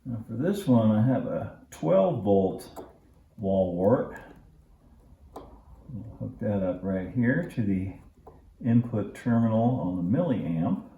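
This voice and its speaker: A man's voice: lowish voice, sing-song, takes it slowly, gravelly, pauses often, phone-quality sound. A man in his fifties hosting a hands-on workshop tutorial in a casual, folksy, easygoing way, explaining things step by step. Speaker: host of a model railway channel. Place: indoor hobby workshop.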